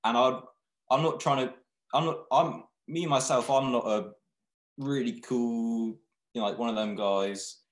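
A young man who founded a clothing brand, talking in a relaxed, rambling way with many restarts on a video call from a small room. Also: mid-range voice, a little slow. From Thailand, raised in England. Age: young adult. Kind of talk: casual video-call interview. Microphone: webcam video call. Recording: echoey room.

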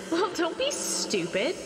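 A woman's voice: very high voice, a bit bright, full character voice. A woman playing a playful, teasing talking sword in a fantasy audio drama, coy and giggly. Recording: long reverb, clear background noise.

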